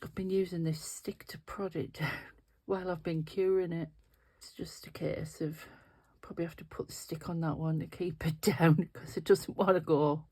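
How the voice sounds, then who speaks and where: A woman's voice: deep voice, fairly even intonation, normal speed, hardly any pauses, a little mellow. Sniffly woman, middle-aged, casual chatty craft host talking through her work. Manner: chatty, thinking aloud while working with her hands. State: sniffly and nasal. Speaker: resin craft video host. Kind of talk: casual craft tutorial talk.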